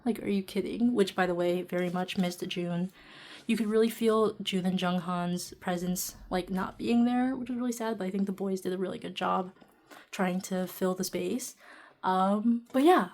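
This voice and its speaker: A woman vlogger chatting casually and a little quietly while sitting down at home, with lots of fillers and laughs. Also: medium pitch, normal speed. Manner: talking softly while seated. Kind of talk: casual chatty vlog narration. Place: indoors at home, seated.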